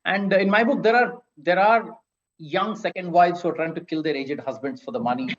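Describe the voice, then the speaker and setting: A man's voice: high voice, normal speed, fairly bright tone, lo-fi sound. A man with an Indian accent speaking as an author on a discussion panel, emphatic and often checking with "right?".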